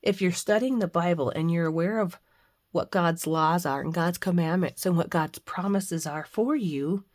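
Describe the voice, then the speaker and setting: A middle-aged woman, hoarse from the flu, chatting casually and rambling a bit as she hosts a faith and crafting video at home. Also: lowish voice, moderate pace, darkish tone. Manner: chatty, rambling with frequent fillers. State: hoarse, recovering from flu with a cough. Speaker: craft channel host. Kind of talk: casual devotional and crafting chat.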